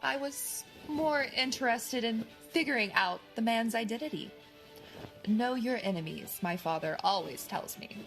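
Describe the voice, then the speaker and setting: A woman's voice: a little high, normal speed, vocal fry, soft background music, rough recording. A woman playing a shrewd, menacing crime boss in an audio drama, articulate, mocking and commanding. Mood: cool, commanding.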